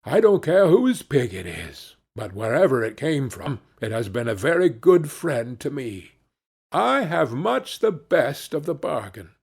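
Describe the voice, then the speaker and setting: A man's voice: mid-range voice, big pitch swings, unhurried, creaky, husky, full character voice. A man voicing a cheerful, naive young peasant lad in a fairy tale, earnest and full of exclamation. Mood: smugly pleased. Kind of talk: fairy-tale storybook character reading.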